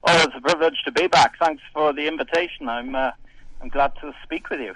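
A man's voice: fairly high pitch, a little fast, no room sound, low-quality recording. Man, pastor guest, hesitant, trails off, dry sound. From Wales. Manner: hesitant, frequent uhs, trailing off softly. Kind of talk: radio interview.

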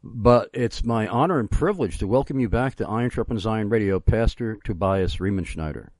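A man hosting a conversational radio interview in an effusive, wordy manner, halting often for drawn-out asides. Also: fairly low pitch, lively intonation, a little mellow, no echo. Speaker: radio host.

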